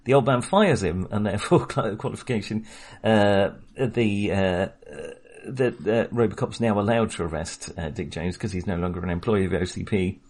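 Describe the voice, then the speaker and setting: Chatty man with a low voice. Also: moderate pace. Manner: chatty, wry, animated when making a point. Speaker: podcast co-host. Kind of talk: casual film discussion.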